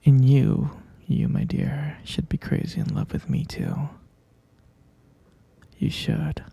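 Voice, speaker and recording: Man playing an obsessive yandere boyfriend, sweetly menacing, very dark soft tone, heavy crackling fry, slow cooing, ASMR roleplay. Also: medium pitch, huge pitch swings, no room sound, heavily acted. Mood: insistent, defensive. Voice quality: breathy, half-whispered. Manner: slow, cooing, coaxing, repeating himself. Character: obsessive, clingy male captor, sweetly menacing lover.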